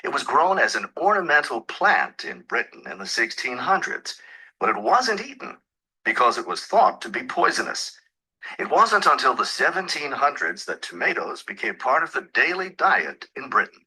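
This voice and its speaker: A man's voice: mid-range voice, sing-song, moderate pace, sharp tone, slightly rough, full character voice, rough recording. A man playing an informative lecturer, reading a scripted academic talk in an instructive, emphatic way for a listening test. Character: informative lecturer explaining food history.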